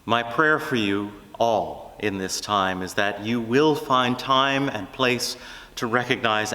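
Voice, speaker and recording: Bright-voiced male preacher in a reverberant hall. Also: mid-range voice. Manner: earnest, measured phrasing with deliberate pauses. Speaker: preacher giving a sermon. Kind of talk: reflective sermon, closing in prayer.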